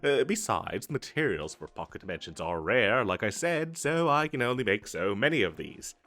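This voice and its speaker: A man's voice: medium pitch, big pitch swings, normal speed, fairly bright tone, soft background music. A man voicing an eager, boastful wizard salesman in a comedic fantasy audio roleplay, with theatrical emphasis and a stammering, hard-selling manner. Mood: exasperated. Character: eager, boastful male wizard salesman hawking magical wares. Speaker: street vendor character in an audio roleplay.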